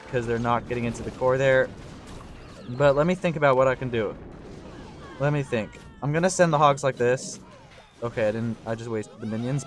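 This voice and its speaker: Young male gameplay commentator, slightly hoarse, mid-pitched voice, thinks out loud, faint noise behind. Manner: talks through his thinking, excitable on big plays. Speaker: gaming channel host.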